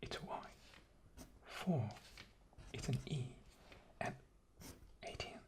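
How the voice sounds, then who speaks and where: A man whispering an ASMR demonstration, explaining patiently and haltingly with repetition. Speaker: guest ASMR performer.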